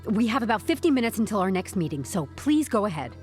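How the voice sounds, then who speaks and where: An actress playing a composed, stubborn HR newcomer in an audio drama, speaking with deadpan corporate politeness and wry candour. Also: female voice, medium pitch, quick pace, soft background music, lightly acted. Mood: brisk, official. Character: composed, stubborn young HR newcomer turning interrogation into interview.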